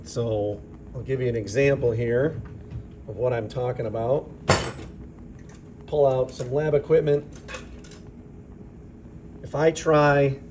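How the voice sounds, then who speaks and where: A slightly raspy man, a chemistry teacher, narrating a lab demonstration off camera in a relaxed, explanatory way with fillers. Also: medium pitch, slight background noise. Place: school chemistry lab with vents. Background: ventilation fans running.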